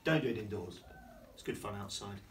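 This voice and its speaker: A man's voice: on the low side, brisk, a little hiss or hum. A middle-aged man who is a headmaster, reading a picture book aloud in a classroom and chatting with the children about the pictures. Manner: animated, chatty asides to the children. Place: primary school classroom, indoors. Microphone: distant camera. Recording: distant camera in a classroom.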